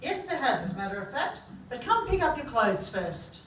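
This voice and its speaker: Mother played by a woman: nagging, shrill-edged, lively pitch, echoey comedy stage. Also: moderate pace. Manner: scolding. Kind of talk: live comedy radio play. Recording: echoey, distant stage recording, faint noise.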